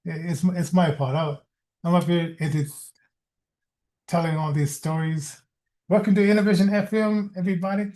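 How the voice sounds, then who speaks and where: Older-ish guy hosting an online show, kinda high voice, rambles, repeats himself, chuckly. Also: fairly even intonation, a little mellow. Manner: halting, repeats words, chuckles often. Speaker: online radio show host. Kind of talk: casual rambling livestream talk.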